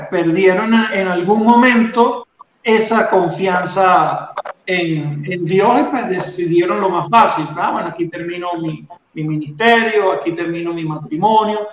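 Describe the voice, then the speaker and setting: A Venezuelan man with a Venezuelan accent, sharing a faith testimony in casual, halting talk full of fillers and restarts. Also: high voice, very echoey, highs cut off.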